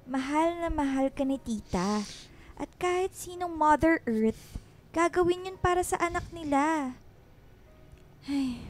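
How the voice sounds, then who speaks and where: Young Filipina best friend, very high voice, sassy, blunt, loyal, radio drama. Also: female voice. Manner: teasing, blunt, exclamatory.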